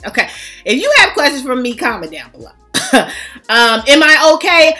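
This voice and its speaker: A woman's voice: high-pitched, very animated, normal speed, pushed and bright, faint music behind. A woman vlog host in a casual comedic storytime chat, playfully teasing and giving mock-serious instructions with frequent check-ins to her viewers.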